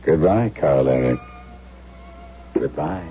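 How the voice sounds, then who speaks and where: A man's voice: very deep voice, animated, slow pace, music in the background, exaggerated voice. A man playing a weary, defeated doctor, grave and resigned, in a 1970s radio drama. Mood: resigned sorrow. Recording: dull lo-fi 1970s radio broadcast.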